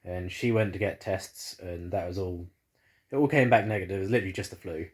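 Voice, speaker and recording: A man's voice: low voice, brisk. A man vlogging casually from his living room, rambling with fillers, still congested after the flu, recorded on a phone. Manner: rambling, many fillers and restarts. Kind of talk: casual chat to camera. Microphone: phone camera.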